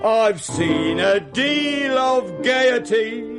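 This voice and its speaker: Boisterous high-voiced young gentleman. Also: expressive, drawn-out words, over music, heavily acted. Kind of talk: period comic radio drama.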